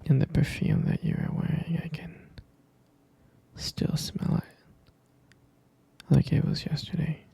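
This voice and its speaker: A man playing a tender, devoted boyfriend in an intimate ASMR roleplay, whispering breathily with a husky, gravelly creak and gentle, hesitant phrasing. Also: fairly high pitch, little pitch movement, heavily acted. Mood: tender, reminiscing. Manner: slow, gentle, hesitant, pausing and repeating. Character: tender, devoted boyfriend soothing his pregnant partner.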